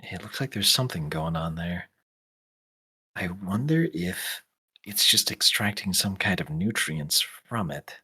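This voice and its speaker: A game master with a deep, rough-edged voice narrates a tabletop fantasy game. The delivery is improvised and casual, with the thinking done out loud. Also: animated, a little slow.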